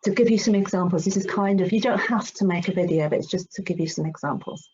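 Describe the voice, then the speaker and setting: A woman's voice: medium pitch, quick pace, darkish tone. A middle-aged woman giving an informal online workshop, chatty, hesitant and encouraging. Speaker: workshop presenter. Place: online video call. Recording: dull, noisy online call audio.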